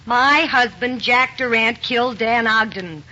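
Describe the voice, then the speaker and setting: An actress playing a distressed but hard-boiled gangster's wife in a 1940s radio crime drama. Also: female voice, a little high, measured pace, pushed and bright. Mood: weary insistence. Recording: dull, hissy 1940s radio recording.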